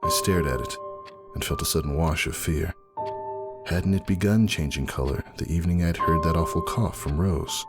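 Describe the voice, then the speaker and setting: A man giving a dramatic first-person story reading as narrator, husky and brooding in manner. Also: deep voice, moderate pace. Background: faint music.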